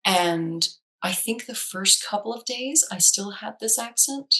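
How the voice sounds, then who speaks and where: A woman's voice: mid-range voice, animated, unhurried, sharp tone. A woman whose first language is Danish, a psychologist in casual conversation, chatty with many fillers and restarts. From Denmark. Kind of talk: casual chat.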